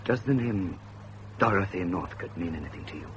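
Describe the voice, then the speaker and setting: Low-voiced, brooding young Victorian student. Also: male voice, animated, brisk, noisy background. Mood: haunted, confiding. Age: young adult. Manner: brooding, formal, dramatic. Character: earnest, haunted young Victorian student with dark secrets. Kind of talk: period radio drama storytelling.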